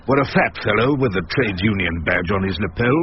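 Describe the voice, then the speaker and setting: A man's voice: fairly low pitch, lively intonation, fairly quick, close to an everyday voice. A wry older English barrister played by a male actor in a radio play. His delivery is droll and theatrical, rising and falling freely. The recording is slightly dull, with faint noise. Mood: wry narration. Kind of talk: radio drama narration and dialogue.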